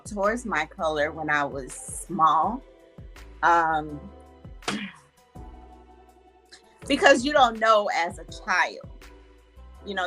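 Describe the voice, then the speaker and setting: A young woman from Honduras tells her story slowly and thoughtfully, with a slightly low pitch and a bright timbre. She speaks over a video call, with faint music behind her. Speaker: guest. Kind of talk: casual personal storytelling.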